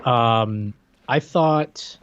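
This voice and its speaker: A man's voice: mid-range voice, extremely slow, fairly bright tone, dull audio, a little hiss or hum. A man co-hosting a podcast, chatting casually and hesitantly with lots of fillers. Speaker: podcast co-host. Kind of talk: casual chat.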